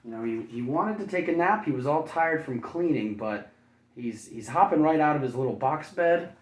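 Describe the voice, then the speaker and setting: A man's voice: on the high side, lively intonation, moderate pace. Guy doing a kids story read-aloud, chatty kindergarten teacher type w/ goofy little asides, echoey room, mic kinda far off. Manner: playful, folksy, chatty commentary. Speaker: kindergarten teacher reading a picture book aloud. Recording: roomy echo, distant microphone.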